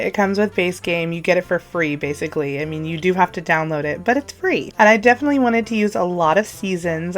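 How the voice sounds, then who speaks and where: A young woman from Texas, hoarse from a cold, chatting casually in voice-over as a video creator, animated and now and then stumbling over her words. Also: on the low side. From Texas, USA. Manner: chatty and animated, talks to viewers like friends, trips over words. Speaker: YouTube creator. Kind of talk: casual voice-over commentary on a game build.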